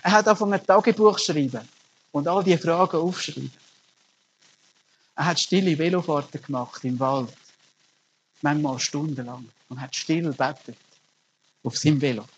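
A man's voice: fairly high pitch, moderate pace, pauses often, a little hiss or hum, rough recording. A middle-aged man, preaching a sermon as a pastor and telling stories earnestly with emphatic rises. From Germany.

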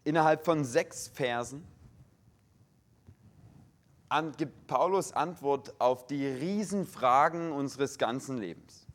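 A young man from Saxony giving a sermon in a full church hall in standard German with a faint Saxon tinge, slow and measured, stressing single words. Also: medium pitch. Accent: standard German with a slight Saxon tinge. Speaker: guest preacher.

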